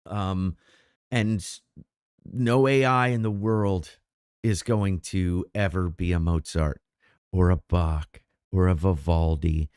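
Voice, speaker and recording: A man's voice: low voice, slow pace, clean tone, no room sound, clean studio sound. A middle-aged man co-hosting a podcast, chatting casually in a rambling way with many restarts. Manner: rambling, animated, frequent restarts. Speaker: podcast co-host. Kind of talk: casual chat.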